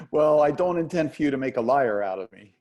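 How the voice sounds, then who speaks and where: A man playing a patient, gently teasing dance instructor of sixty-one in a play reading. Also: fairly high pitch, expressive, moderate pace, close to an everyday voice. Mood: friendly, curious. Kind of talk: play reading over video call.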